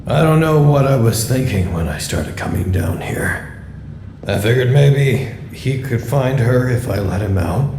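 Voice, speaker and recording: A man's voice: medium pitch, expressive, measured pace, darkish tone, close to an everyday voice. A man playing a frantic, rambling facility worker in an audio drama, searching and talking theatrically to himself. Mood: anxious. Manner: theatrical, rambling, talks to imagined listeners. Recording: echoey room with faint noise.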